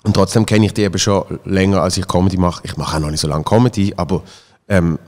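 A man in his thirties with a Swiss German accent hosts a casual chat in a fairly low voice. He tells anecdotes with frequent restarts into a studio boom mic, and the room adds a long reverb tail. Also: steady tone, fast, darkish tone. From Switzerland. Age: 30s. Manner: chatty, anecdotal, restarts often. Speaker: podcast host. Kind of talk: casual podcast conversation. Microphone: boom-arm studio mic.

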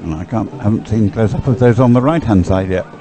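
A man's voice: lowish voice, fairly quick, over music. A man giving live sports commentary in a rambling, hesitant way, as if thinking aloud.

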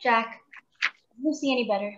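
A woman's voice: fairly high pitch, normal speed, pushed and bright, dull audio. A woman playing a gracious, concerned young wife with polite, genteel speech in a radio play. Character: gracious, concerned young married former nurse.

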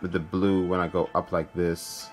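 A man's voice: low-pitched, level tone, normal speed. A man hosting a gaming video, commentating casually and thinking aloud in an informal way. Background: game music.

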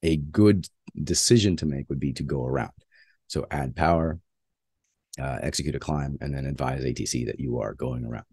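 Man, flight instructor, very low dark voice, dry close sound, plain teaching tone, lots of uhs. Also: normal speed, breathy edge, dry recording. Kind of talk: slide lecture on flight training.

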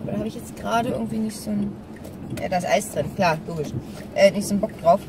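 A woman's voice: mid-range voice, fast talker. A middle-aged woman chats casually and ramblingly to camera as she drives, thinking aloud. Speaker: vlogger. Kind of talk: casual chat to camera while driving. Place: inside a small car. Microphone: dashboard camera at arm's length. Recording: distant mic, loud car driving noise.

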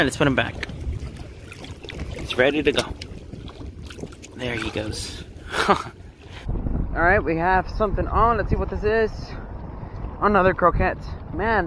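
A young man from Texas giving upbeat, casual commentary to viewers while fishing on a rocky jetty, recorded on a body-worn action camera. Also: on the high side. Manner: upbeat, exclaims over each catch, addresses viewers as guys. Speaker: fishing vlogger. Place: outdoors on a rocky jetty by open water. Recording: wind noise outdoors. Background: wind and water.

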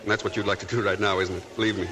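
A man's voice: sits low, little pitch movement, rapid-fire, sharp tone. An actor playing a dreamy, self-absorbed young man in a 1940s radio play, talking eagerly and intensely.

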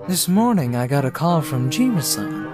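A woman playing a cool, composed teenage boy, measured and matter-of-fact, in a fan manga dub. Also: deep voice, very animated, over loud music. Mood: calm.

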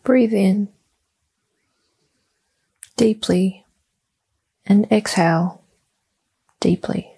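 A woman leading a guided meditation, giving soft, calm, soothing instructions in short phrases with long, deliberate pauses. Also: mid-range voice, vocal fry, breathy edge.

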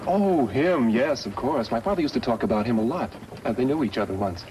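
A man's voice: mid-range voice, lively intonation, brisk, a little mellow, natural voice. A young man playing an earnest, polite mining engineer in a 1950s radio western. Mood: curious. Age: young adult. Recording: noisy old radio recording.

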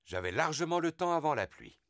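A man's voice: on the high side, big pitch swings, a little fast, heavy background noise. A man voicing a big, overconfident father pig with a boastful, easygoing manner in a French cartoon. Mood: breezy, boastful.

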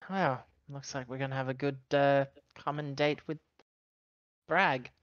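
A man's voice: mid-range voice, measured pace, dry recording, muffled recording. A man speaking in character as a player in a casual tabletop roleplaying game.

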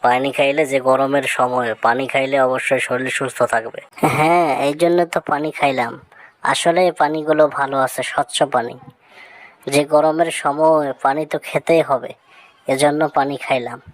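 A sociable cartoon village dog, played by a woman, with a very low voice. The talk is neighbourly and matter-of-fact, explaining things in simple repeated points. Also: steady tone, moderate pace. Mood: easygoing. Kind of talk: cartoon moral-story dialogue.

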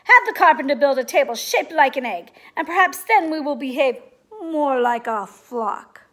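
A woman voicing a commanding, fair-minded storybook king with declamatory, measured proclamations to his knights. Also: high-pitched, animated, fairly bright tone. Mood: firm, calm.

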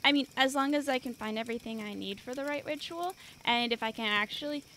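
A woman's voice: high voice, fast talker, sharp tone, a little hiss or hum. A woman playing a matter-of-fact, morbid, snippy young girl scout in an audio drama. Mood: keen.

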